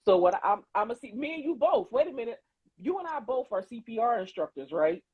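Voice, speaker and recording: A woman's voice: a little high, a little fast, breathy edge, no room sound. A woman, a therapist, telling stories in casual podcast chat, swearing freely and acting out what others said. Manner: animated storytelling, swears freely, acts out quotes. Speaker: podcast co-host; therapist and CPR instructor. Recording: tinny phone-like line, dry.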